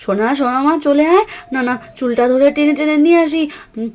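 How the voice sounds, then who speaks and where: A woman's voice: sits high, brisk, darkish tone, close to an everyday voice. A woman voicing an anxious, caring mother doll in a cartoon story, coaxing with repeated phrases. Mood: worried, coaxing. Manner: coaxing, repetitive.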